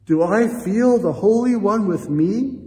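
A man's voice: high-pitched, animated, unhurried, mellow. An older man preaching a reflective sermon in a church sanctuary, gesturing as he talks and addressing listeners as friends. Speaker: pastor. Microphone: camera at chest height, seated facing it. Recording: long church reverb, faint room noise.

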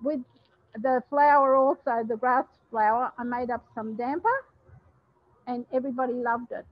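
An older Australian woman chef chats about her recipes in a plain, homely way, pausing and filling gaps with ums. Her voice sits a little high. The sound is dry and a bit dull, close on a headset mic. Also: no echo. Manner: warm, plain-spoken, many ums and pauses. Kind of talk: casual cooking talk to a webinar.

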